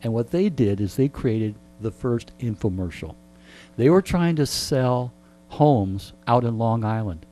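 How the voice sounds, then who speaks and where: A man in his sixties in a radio interview, chatting like an animated storyteller and turning aside to address listeners. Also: medium pitch, unhurried. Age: 60s. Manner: frequent throat clearing. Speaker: radio show host being interviewed. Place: radio studio. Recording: clear background hum and noise.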